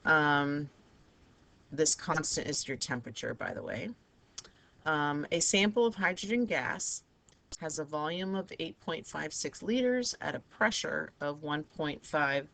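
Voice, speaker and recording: Raspy, hoarse woman teaching math. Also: low-pitched, fairly bright tone. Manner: patient, talks students through each step. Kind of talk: recorded math lesson over a digital whiteboard.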